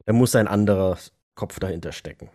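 A man playing an embittered, agitated vampire in an audio drama, emotional and sometimes halting. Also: deep voice, dark tone, natural voice. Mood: grim. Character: embittered, agitated male vampire hunting anarchs. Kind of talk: German audio drama role-play acting.

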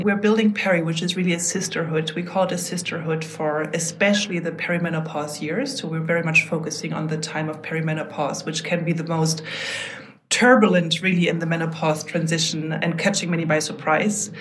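A woman with a German accent talks as a guest on a podcast interview. Her voice sits on the low side and she sounds earnest, often pausing and restarting her sentences. A faint hum of noise runs under the recording. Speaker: guest, app founder.

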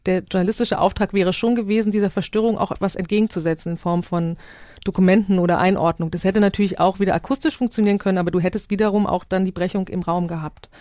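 A woman's voice: medium pitch, fairly even intonation, fast, warm tone, no room sound, studio quality. A woman talking analytically in a podcast discussion.

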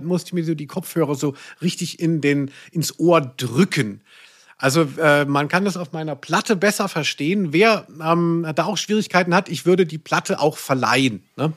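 A man's voice: fairly high pitch, fairly bright tone. A man co-hosting a podcast, discussing audio plays casually in a wordy, hesitant way. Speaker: podcast co-host.

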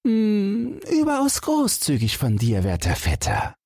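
Man putting on a crafty, high-pitched young storybook hero. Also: wildly swooping pitch, measured pace, a little mellow, no room sound, studio quality, full character voice. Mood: sly, courteous. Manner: sly, urgent, mock-courteous. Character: crafty boastful young fairy-tale hero Jack. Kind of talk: storybook character voice.

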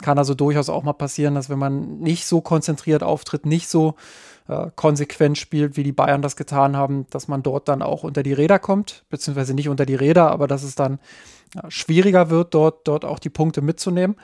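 A man's voice: a little high, steady tone, brisk, creaky, warm tone, no echo, clean studio sound. A man co-hosting a football podcast in casual chat, hesitant and full of fillers, often repeating words as he goes. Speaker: podcast co-host.

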